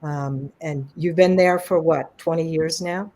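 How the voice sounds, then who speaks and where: A woman's voice: sits low, steady tone, measured pace, mellow. A woman in a casual online conversation, speaking in an enthusiastic, rambling way about a colleague and partner work. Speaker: co-presenter.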